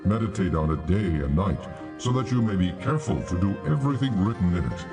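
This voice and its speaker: An actor playing God in a dramatized scripture reading, solemn, measured and authoritative. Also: male voice, sits low, big pitch swings. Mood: solemn, commanding. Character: God, solemn commanding male divine voice. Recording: music under the voice.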